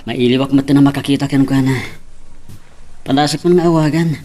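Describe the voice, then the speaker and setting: A man acts as a young Ilocano buddy and friendly romantic rival in an audio drama. His voice is medium in pitch and heard slightly off the microphone. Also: steady tone, fairly quick, dark tone, far from the mic, a little hiss or hum, lightly acted. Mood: casual. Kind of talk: Ilocano radio-style love drama acting.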